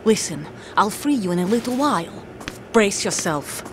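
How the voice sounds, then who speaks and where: A woman's voice: medium pitch, animated, moderate pace, noisy background, natural voice. A woman voicing a tough female soldier working undercover, in a video game cutscene with music under it, speaking tersely and commandingly. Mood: urgent. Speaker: game character.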